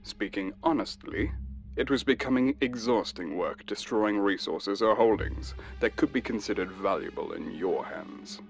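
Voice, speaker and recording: A man plays a smug, ruthless space navy officer. His voice sits fairly low, and he talks with deliberate, sardonic courtesy. Music plays faintly under this science fiction audio drama. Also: gravelly. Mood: coldly courteous.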